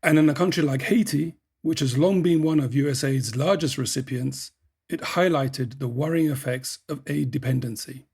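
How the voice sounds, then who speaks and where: A man hosting a podcast, reading scripted narration in a measured way and putting questions to a guest. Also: medium pitch, gravelly, a little mellow, slightly rough. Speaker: podcast host and editor.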